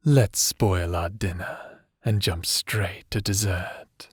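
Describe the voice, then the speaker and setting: A man playing a doting, teasing evil necromancer husband in an intimate ASMR roleplay, speaking softly with flirtatious endearments. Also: sits low, steady tone, takes it slowly, slightly rough, no room sound, studio quality, exaggerated voice. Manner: soft, soothing, flirtatious, affectionate endearments.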